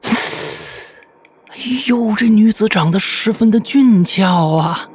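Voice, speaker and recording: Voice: medium pitch, slow pace, husky. A storyteller giving dramatic storybook narration in a folksy, traditional tale-telling manner.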